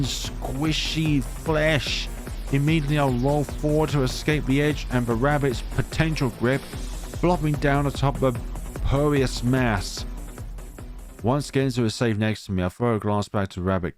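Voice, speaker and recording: A man reading a visual novel aloud in a dramatic way, adding asides and correcting his own stumbles. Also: on the high side. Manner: theatrical, stumbles and corrects himself. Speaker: let's play host. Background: music.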